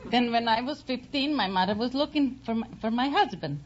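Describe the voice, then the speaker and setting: A woman contestant with an Eastern European accent, chatting with a host in halting, broken English on an old radio show. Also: on the high side, lively intonation, muffled recording. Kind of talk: casual banter with host. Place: radio studio with audience. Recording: very noisy old radio, loud audience.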